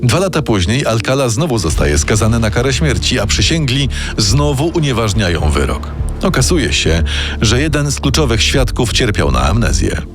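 A man's voice: medium pitch, big pitch swings, normal speed, over music. A man delivering scripted, dramatic true-crime narration as the show's narrator.

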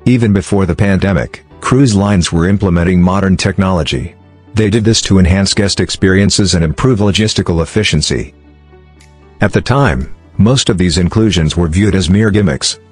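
A man narrates a scripted explainer in a low-pitched voice. His delivery is measured and formal, with music playing softly behind him. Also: husky. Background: background music.

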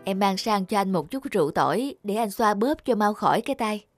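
A woman's voice: medium pitch, expressive, fairly quick, soft background music, natural voice. A woman voicing a kind young village woman in a cartoon, polite and solicitous. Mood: kindly. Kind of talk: cartoon voice acting.

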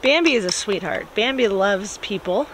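A woman's voice: medium pitch, animated, moderate pace, a bit bright, slight background noise, poor audio. A woman in her thirties chatting casually outdoors on a rural homestead, cheerful and teasing. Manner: cheerful, teasing, coos to animals. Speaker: homesteading vlogger.

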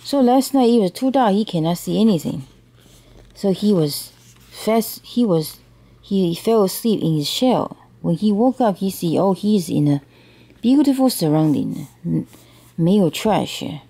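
A woman's voice: mid-range voice, animated, a little slow, vocal fry, mellow. A woman reads a picture book aloud to a child, explaining bilingually and checking understanding with questions. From Taiwan.

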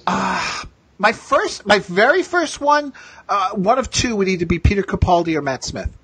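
A man co-hosting a casual pop-culture discussion with an enthusiastic, halting fan's delivery. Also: high-pitched, animated, sharp tone, slightly rough, no echo. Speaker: podcast co-host.

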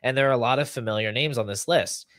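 A man's voice: mid-range voice, quick pace, fairly bright tone, dry recording, clean studio sound. A young man hosting a fantasy football podcast, talking conversationally and explaining figures in an analytical way. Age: young adult. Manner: explanatory, runs through figures and lists. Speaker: podcast host. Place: small room at home, indoors. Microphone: video call setup.

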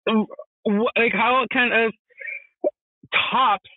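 High-voiced man, thin phone-like sound. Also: slow, husky, no echo. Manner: relaxed, many fillers like "you know". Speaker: podcast co-host. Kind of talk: casual podcast chat.

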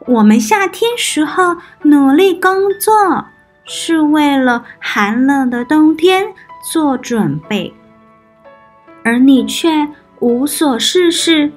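A woman's voice: sits high, animated, slow pace, faint music behind. A woman voicing a sensible, hardworking ant in a children's storybook, earnest and admonishing. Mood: scolding. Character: hardworking, sensible ants, scolding the idle.

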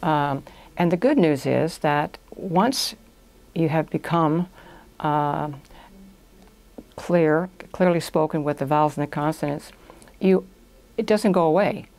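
A woman's voice: deep voice, takes it slowly, long pauses, darkish tone. An older woman giving conversational, explanatory answers as a guest in a studio interview. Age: older, about 60s or 70s. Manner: explanatory, step-by-step. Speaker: guest, language institute owner. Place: small indoor podcast studio.

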